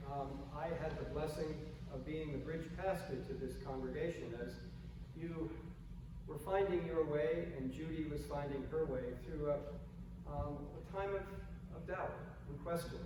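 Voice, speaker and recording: A gentle, soft-spoken male pastor with a slightly higher voice than most men, reading scripture and preaching in an unhurried way. He sounds far from the microphone, with a long reverb ringing through a big old church sanctuary. A clear hiss of noise sits under his words. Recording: echoey church hall from far off, noisy.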